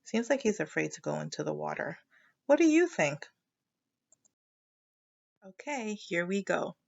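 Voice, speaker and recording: A woman's voice: lowish voice, big pitch swings, a little slow, creaky, muffled recording. A woman teacher leading a children's read-aloud lesson, explaining as she thinks aloud and prompting listeners with questions.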